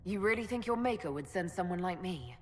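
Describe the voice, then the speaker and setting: A woman acts out a wary young elf for a fantasy game cutscene. Her voice sits a little low, doubtful and plain-spoken, with long hall reverb. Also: expressive, brisk, a little mellow, close to an everyday voice. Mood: sceptical. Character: wary, sceptical young female elf prisoner. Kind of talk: dramatic video game acting. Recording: echoey hall.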